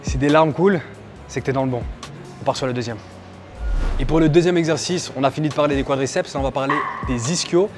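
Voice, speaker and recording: Man, slightly high-pitched, teasing workout instructor, informal step-by-step explanations, background music. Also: quick pace. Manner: playful, teasing, motivational asides. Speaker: fitness coach presenting a workout. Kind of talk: casual exercise tutorial. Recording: music under the voice.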